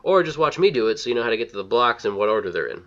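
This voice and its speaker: A man narrates a video game walkthrough at a quick clip, in a mid-range voice. His delivery is casual and blunt with wry asides, and the recording is a little muffled. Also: medium pitch, big pitch swings, fairly bright tone. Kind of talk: casual scripted walkthrough commentary.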